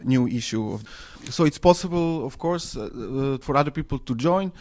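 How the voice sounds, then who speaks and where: A man from Serbia, speaking English as a second language, answering radio interview questions with many pauses and fillers. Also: mid-range voice, lively intonation, a little mellow. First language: Serbo-Croatian. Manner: hesitant, many fillers and restarts. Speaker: interview guest, anarchist newspaper editor.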